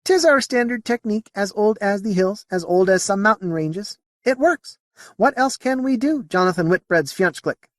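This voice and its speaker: A man's voice: sits high, animated, moderate pace, no room sound, studio quality, voice pushed up. A man voicing an earnest, inquisitive alien who speaks formally in a Scottish accent, in an audiobook story. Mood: matter-of-fact. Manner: formal, old-fashioned dialect phrasing.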